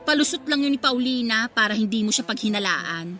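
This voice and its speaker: A woman's voice: on the high side, animated, brisk, bright, forceful tone. A woman playing a vain, spiteful mistress in a Filipino radio drama, sassy, insolent and goading. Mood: taunting, spiteful. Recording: faint music bed behind the voice.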